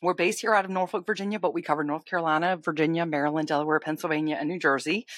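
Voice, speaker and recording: A woman's voice: deep voice, steady tone, rapid-fire, a bit bright, no echo, studio quality. A woman co-hosting a casual chat, talking in a friendly, chatty way. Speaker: podcast co-host, lender, rescue founder.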